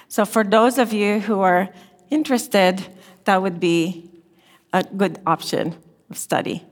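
A middle-aged woman from Romania with a Romanian accent, giving a gentle, unhurried chapel talk as a therapy lecturer. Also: mid-range voice. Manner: gentle, unhurried, slightly non-native phrasing. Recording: long reverb in a chapel hall.

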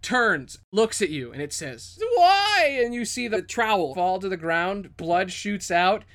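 A man's voice: sits high, measured pace, a bit bright. A man improvising dramatic, descriptive narration as the game master of a tabletop role-playing game.

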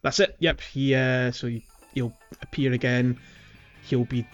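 A man co-hosting a relaxed gaming podcast, speaking at a middling pitch in a loose, chatty way. He stumbles over his words and fills pauses as he goes. The audio sounds dull and lo-fi, with faint music underneath. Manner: chatty, laughs easily, many fillers and restarts. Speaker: podcast co-host. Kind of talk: casual chat about a video game.

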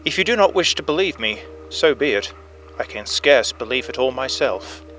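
A man playing an earnest head police inspector, narrating a storybook tale in formal, measured fashion, as if on an old phonograph. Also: mid-range voice, expressive, pushed and bright, voice pushed up. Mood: earnest, solemn. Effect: old phonograph recording. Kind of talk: dramatic first-person storybook narration. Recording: narration over music, phonograph effect. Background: music.

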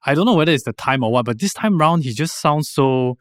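A man's voice: on the high side, fast, no room sound. Chatty Singaporean man, dry studio sound. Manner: animated, mimics others in playful voices. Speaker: podcast guest, actor. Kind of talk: casual chat. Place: podcast studio.

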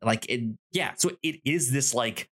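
A man's voice: medium pitch, unhurried. A man co-hosting a podcast in casual unscripted discussion, often repeating words and syllables as he goes and acting out imagined dialogue. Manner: animated, acts out imagined dialogue. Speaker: podcast co-host reviewing a TV show.

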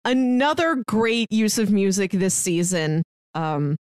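A woman co-hosting a casual podcast, talking quickly with frequent laughter. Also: mid-range voice, lively intonation, darkish tone, smooth, no room sound, clean studio sound. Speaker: podcast co-host.

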